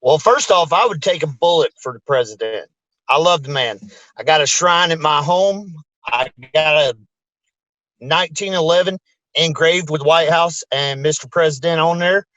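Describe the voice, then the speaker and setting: A man's voice: on the high side, normal speed, fairly bright tone, no echo, highs cut off. A man running for office, answering bluntly and crudely in a political debate. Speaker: political candidate.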